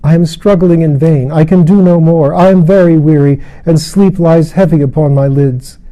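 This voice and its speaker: A man's voice: fairly high pitch, normal speed, warm tone, pitched above the performer's own voice, close to an everyday voice, poor audio. A man voicing a weary, fearful, dying princess in a storybook, murmuring, moaning and pleading proudly.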